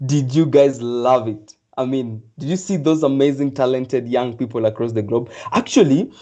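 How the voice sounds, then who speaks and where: A man's voice: medium pitch, normal speed, warm tone. Enthusiastic young man hosting, muffled. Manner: enthusiastic, rambling with many fillers. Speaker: event host. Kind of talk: live online event hosting.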